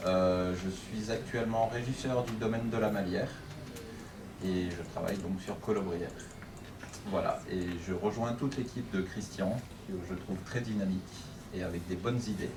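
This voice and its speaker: A man's voice: low-pitched, steady tone, moderate pace. A man in his forties briefly introducing himself as a candidate, a little self-mocking. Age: 40s. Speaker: municipal list candidate. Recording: echoey room, distant mic, clear noise.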